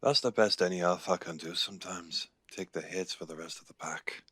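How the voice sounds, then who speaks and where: A man acts out a guarded Irish werewolf alpha for an audio drama. His voice sits deep in the register and is recorded dry. He chooses his words deliberately and gravely, like a seasoned pack leader. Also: a bit bright, no room sound. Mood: grave, sympathetic. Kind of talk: audio roleplay acting.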